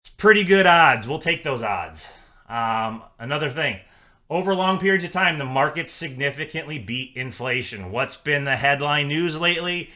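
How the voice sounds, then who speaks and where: Man, financial advisor, upbeat and chatty, somewhat high voice, bright tone. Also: expressive, normal speed. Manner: upbeat, conversational, with frequent fillers. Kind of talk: casual client update.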